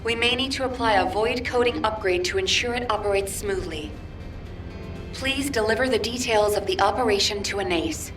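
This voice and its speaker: A woman's voice: medium pitch, moderate pace, over music, close to an everyday voice. A woman voicing an earnest, devoted young officer who speaks with emotion. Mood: determined. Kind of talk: game cutscene dialogue.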